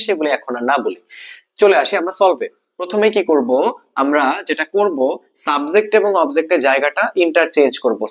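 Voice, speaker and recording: A man with a Bangladeshi accent teaching an exam-prep grammar lesson, repeating key points and asking checking questions. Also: fairly high pitch.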